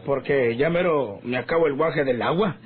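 An actor playing a hearty, drawling rough gunman with a rural Mexican accent in a radio serial. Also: mid-range voice, normal speed, a little hiss or hum, natural voice. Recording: tinny old radio recording, background chatter.

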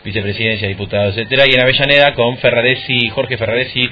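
Argentine radio host, rioplatense guy, medium voice, halting, kinda muffled sound. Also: mid-range voice, fairly even intonation. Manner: halting, self-correcting. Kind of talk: conversational radio presenting.

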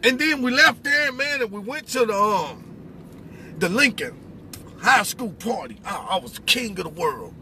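A man's voice: sits high, lively intonation, moderate pace, pushed and bright. A middle-aged man telling personal stories with some preaching, in a colloquial, emphatic way that repeats phrases. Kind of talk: animated personal storytelling with preaching. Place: inside a pickup truck cab. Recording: noisy vehicle cabin.

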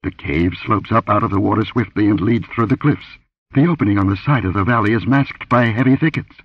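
A man's voice: lowish voice, brisk, slightly rough, no echo, pitched above the performer's own voice. A man voicing a terrified young dancing girl who pleads tearfully and breathlessly in a pulp adventure story. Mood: anxious, explaining. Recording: dull lo-fi audio.

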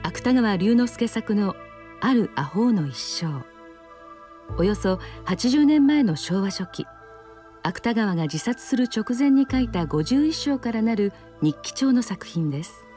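A woman's voice: fairly high pitch, lively intonation, normal speed, mellow, faint music behind. A woman reading scripted narration for a television news report.

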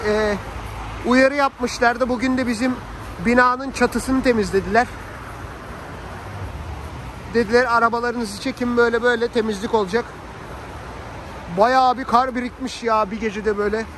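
High-pitched man vlogging on a noisy street. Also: normal speed, long pauses. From Turkey. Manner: colloquial, slangy, animated asides to family and viewers. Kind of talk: casual chatty vlog narration. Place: outdoors on a snowy city street. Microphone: handheld phone. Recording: noisy outdoor street with traffic.

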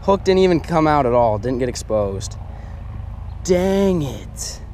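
Animated young man, higher-pitched voice. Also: takes it slowly, slight background noise. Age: young adult. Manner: exclamatory, repeats phrases, slangy. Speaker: angler vlogger. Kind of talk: excited casual fishing vlog chat. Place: outdoors on a bass boat on open water. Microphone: body-worn action camera.

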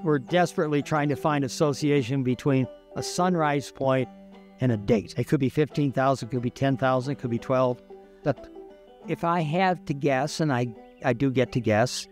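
An older man explains his research in a measured, deliberate manner, like an expert being interviewed. His voice is medium in pitch, with faint music underneath. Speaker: archaeologist, stone-tool analyst. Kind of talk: documentary interview. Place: outdoors, open yard at dig site.

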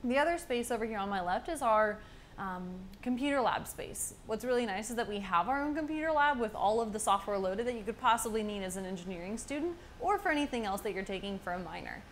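A woman's voice: medium pitch, fast talker. A young woman giving an informal, upbeat and explanatory guided campus tour as a student guide. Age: young adult. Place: indoor university labs and hallway. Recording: steady clear background room noise.

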